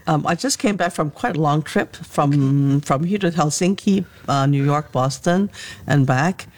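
A woman's voice: sits low, measured pace, a little mellow. A woman in her seventies from Hong Kong, a doctor reflecting as an interview guest, who laughs often as she recounts memories. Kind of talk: reflective conversational storytelling.

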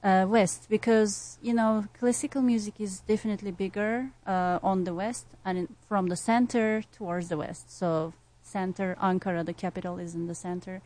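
A woman's voice: medium pitch, fairly even intonation, normal speed, hardly any pauses, darkish tone, poor audio. Woman from Turkey, earnest speaker. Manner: earnest, many fillers. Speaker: music educator. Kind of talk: casual interview answer.

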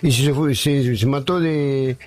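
A man's voice: medium pitch, a little slow, a little mellow. A man with an Argentine accent ranting bluntly and crudely in a heated way about an athlete. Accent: Argentine Spanish. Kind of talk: angry casual rant.

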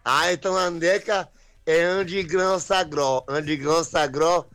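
A man in joking slang chat, musing aloud and repeating his words in a drawn-out way. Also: a little high, fairly even intonation, fairly bright tone.

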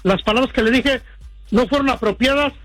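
A man's voice: very high-pitched, fairly quick, a bit bright, no echo, faint music behind. A man from Mexico with a Mexican accent, calling a radio show and chatting casually, often stumbling and repeating words. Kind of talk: casual chat.

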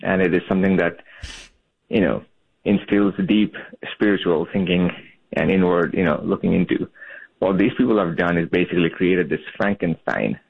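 Deep gravelly male caller on phone. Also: moderate pace. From Bangladesh. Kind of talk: phone-in call. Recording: muffled phone line.

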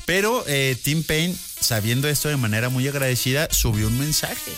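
A man's voice: mid-range voice, animated, moderate pace, a bit bright, faint music behind. A man with a Mexican accent hosting a morning radio show, joking in slangy casual chat and laughing often. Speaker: radio host.

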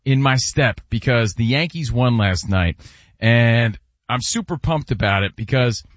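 A man's voice: medium pitch, animated, unhurried, no echo, dull audio. A man in his forties hosting a sports radio show, joking and teasing in casual banter.

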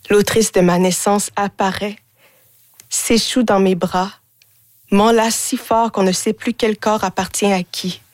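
A young woman performs spoken-word poetry in a radio studio, her voice sitting a little low. She reads in a measured, deliberate way and lets each image land. The studio sound is close and dry, with no room echo. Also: darkish tone. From Haiti. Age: 20s. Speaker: guest poet.

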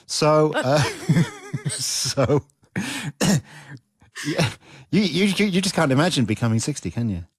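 A man's voice: fairly high pitch, sing-song, slow, pauses often, slightly rough, dry recording, clean studio sound. A sixty-year-old man hosting a casual chat, musing in a wry, self-mocking way. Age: 60s. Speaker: host.

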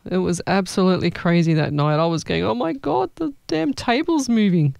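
A man, a psychic medium hosting a live stream, chatting in a rambling, excitable way. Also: high-pitched, moderate pace, gravelly, no echo, studio quality. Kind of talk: live-stream reading chat.

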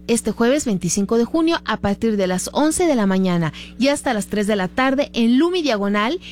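A woman's voice: a little high, darkish tone, a little hiss or hum. A woman with a Mexican accent reading a scripted promotional advertisement as an announcer.

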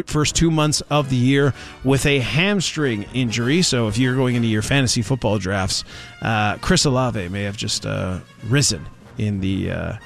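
A man hosting a sports talk radio show, rambling and sarcastic with lots of filler words. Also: medium pitch.

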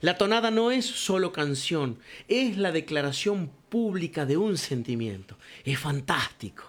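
Older man, high voice, storytelling radio columnist who says compadre. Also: lively intonation, a little slow. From Cuyo, Argentina. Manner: warm storytelling, addresses listener as compadre. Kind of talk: reflective spoken radio column.

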